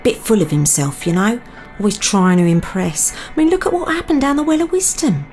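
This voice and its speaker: A woman's voice: medium pitch, big pitch swings, a little fast, a little mellow, faint music behind. A woman playing a wry, frank long-married confidante in an audio drama.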